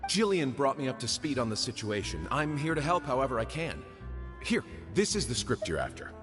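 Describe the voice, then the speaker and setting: An actor playing a helpful, chatty aspiring stage director in a video game, eager to explain. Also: male voice, medium pitch, big pitch swings, a little fast, music in the background, close to an everyday voice.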